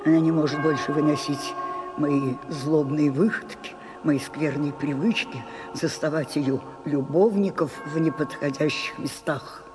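An actress in a radio play, playing an imperious, capricious ageing stage diva with a theatrical, commanding, husky delivery. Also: female voice, low-pitched.

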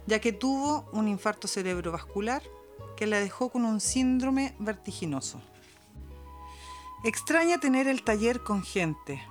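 Expressive Chilean woman narrator, swooping intonation. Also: medium pitch. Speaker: podcast narrator. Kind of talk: scripted narration, expressive storytelling. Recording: music behind the voice. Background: music.

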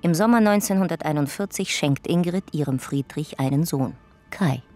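An actress narrating a radio drama family chronicle in a detached, clipped, documentary manner. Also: female voice, deep voice, normal speed, a little mellow, close to an everyday voice. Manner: matter-of-fact, clipped, documentary. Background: faint music.

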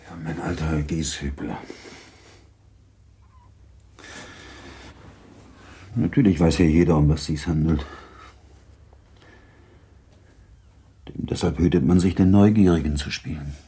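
An actor playing a brooding, duty-bound middle-aged Prussian baron in a German radio play, speaking in a measured, reflective way. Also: male voice, very deep voice, fairly even intonation, mellow, slightly rough, full character voice. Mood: resigned. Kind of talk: German radio play acting, interior monologue.

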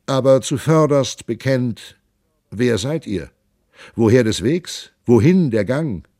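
A man's voice: medium pitch, animated, takes it slowly, mellow, dry recording, clean studio sound, voice pushed up. A man doing a hearty noble giant prince. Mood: hearty, commanding. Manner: stately old-fashioned speech, emphatic. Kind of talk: audiobook reading.

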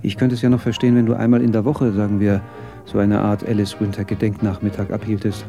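An actor in a radio drama playing a sardonic failed film director, needling with sarcastic mock affection. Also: male voice, lowish voice, little pitch movement, fast, over music, close to an everyday voice.

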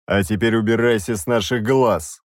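A man's voice: fairly low pitch, normal speed, no room sound, studio quality, close to an everyday voice. A man voicing an irritable, haughty king who talks in an imperious, grumbling way.

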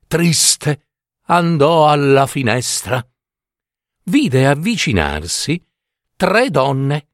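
A male storyteller narrates a fairy tale with theatrical relish. His pitch swings widely as he moves slowly and deliberately through the phrases, lingering on words. The sound is dry, without room echo.

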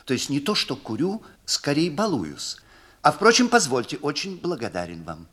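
An older man voicing a shy, flustered young man in a story reading, halting and stammering with feigned casualness. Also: on the high side, wildly swooping pitch, a bit bright, breathy edge, voice pushed up, full character voice. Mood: embarrassed.

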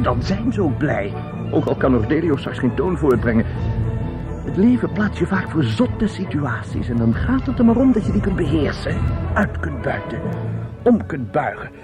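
A man's voice: medium pitch, natural voice. An actor in a radio drama playing a flustered, self-satisfied male opera impresario, muttering and hesitant or pompously speechifying.

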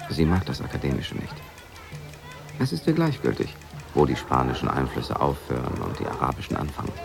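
An actor in a radio drama playing a cultured, lonely husband, narrating in a measured, reflective way. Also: male voice, a bass voice, gravelly, heavily acted. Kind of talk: 1980s German radio drama monologue. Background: music.

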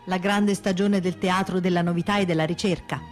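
An actress playing a theatrical woman in a scripted radio play, declaiming memories and farewells in a measured way. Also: fairly low pitch, steady tone, darkish tone, faint music behind, lightly acted. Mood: solemn recital.

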